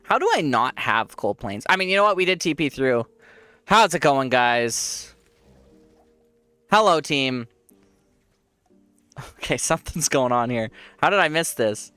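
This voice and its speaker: A man's voice: mid-range voice, lively intonation, measured pace, long pauses, sharp tone, smooth, no echo, soft background music. A man with a congested voice, giving rambling casual commentary while he plays a game and laughs often. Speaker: streamer.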